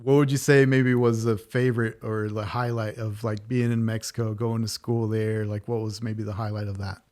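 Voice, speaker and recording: A man hosting a podcast, upbeat and hype in his welcome, with quick short responses while his guest talks. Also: on the low side, flat delivery, a little mellow. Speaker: podcast host.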